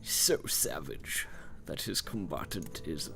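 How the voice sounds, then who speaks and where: Low, gravelly, laid-back gamer. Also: male voice, expressive, slow pace. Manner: laid-back, thinks aloud, chuckles at his own jokes. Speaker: gameplay video creator. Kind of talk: casual gameplay commentary. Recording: game music and sounds behind.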